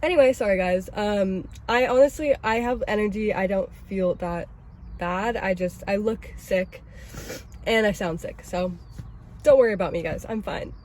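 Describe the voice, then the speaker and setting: A young woman with a cold, sounding raspy and stuffy, chatting casually and rambling in a self-critical way as she vlogs from her car. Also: medium pitch, normal speed, darkish tone, slight background noise. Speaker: vlogger host. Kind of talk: casual oversharing vlog talk. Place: inside a parked car.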